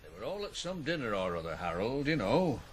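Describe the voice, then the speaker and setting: A man's voice: medium pitch, sing-song, fairly quick, close to an everyday voice. A male actor playing a dry, fatherly farm foreman who cautions and teases in a radio drama. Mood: wry, cautioning. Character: wry, fatherly farm foreman mentoring a boy.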